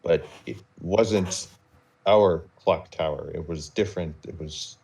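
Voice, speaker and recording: A man recounting a roleplay story off the cuff on a live stream, halting and measured, wandering into small digressions. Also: low voice, gravelly, darkish tone, rough recording. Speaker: storyteller recapping a game server roleplay.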